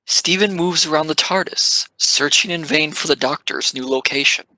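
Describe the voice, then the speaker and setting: A man's voice: a little high, normal speed, bright, forceful tone, dry recording, low-quality recording. A man reading scripted stage narration for an audio drama.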